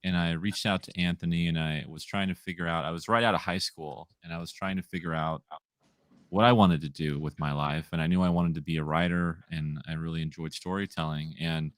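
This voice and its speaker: A man in his twenties with a deep, radio-style voice, talking easily and meanderingly as a comic writer guest in a casual interview. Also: steady tone, brisk, fairly bright tone, dry recording, clean studio sound. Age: 20s. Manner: easygoing, meandering sentences.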